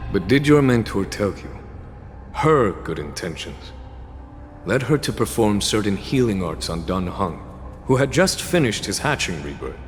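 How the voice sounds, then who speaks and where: An actor playing a composed, grave general in a video game, speaking in a measured, formal, philosophical way. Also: male voice, low voice. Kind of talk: video game cutscene voice acting. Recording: reverberant hall under clear music.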